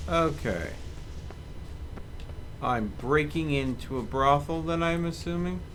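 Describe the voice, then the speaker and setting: A man's voice: on the high side, slow pace, a bit bright. A man live streaming a video game, musing to himself in casual commentary. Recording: game noise behind commentary. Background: video game ambience.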